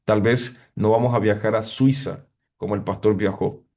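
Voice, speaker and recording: A middle-aged man preaching a reflective sermon as a pastor, pausing often with fillers. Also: on the low side, fairly even intonation, a little mellow. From abroad, living in Chile 20 years. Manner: reflective, hesitant with frequent fillers.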